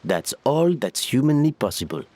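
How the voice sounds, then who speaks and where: A man's voice: medium pitch, sing-song, moderate pace, warm tone, a little hiss or hum, close to an everyday voice. A man playing an earnest, reasonable character in a video game, articulate, formal and explanatory. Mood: reassuring.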